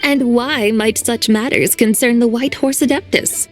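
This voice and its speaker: A woman voicing a calm, aloof woman who speaks in a composed, archly formal way and calls others little one. It is game dialogue. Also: a little high, measured pace, soft background music, natural voice. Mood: teasing. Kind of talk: game voice acting.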